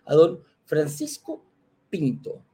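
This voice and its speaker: A man's voice: fairly high pitch, huge pitch swings, takes it slowly, dark tone, slightly rough. A man hosting an interview, asking friendly questions and often restarting his sentences. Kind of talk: casual interview.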